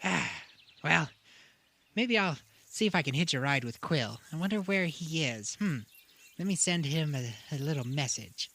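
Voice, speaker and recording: A performer voicing an excitable, bumbling halfling who huffs, exclaims and giggles in an audio drama. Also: mid-range voice, moderate pace, a little hiss or hum. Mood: winded, muttering. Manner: exclaiming, huffing, giggling, swooping. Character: excitable, bumbling halfling storyteller companion named Solomon. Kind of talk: audio drama acting, muttering to self.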